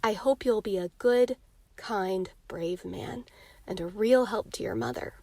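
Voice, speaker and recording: A woman voicing a gentle, worried sixteen-year-old big sister in a soft, tender storybook read-aloud. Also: mid-range voice, measured pace, dry recording.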